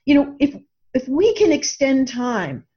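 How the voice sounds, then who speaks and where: A woman with a fairly high voice speaks as an interview guest, reflective and earnest. She stresses her points and sometimes doubles back on a word. The audio is slightly muffled and lo-fi. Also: very animated, a little slow, warm tone. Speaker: interview guest, author and coach. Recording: dull, band-limited lo-fi audio.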